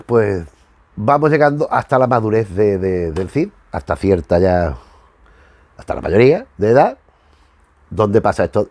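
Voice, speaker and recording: A man's voice: on the low side, a little mellow, no room sound. A man from giving an informal, digressive commentary from notes as host of a retro review show, often trailing off into vague tags.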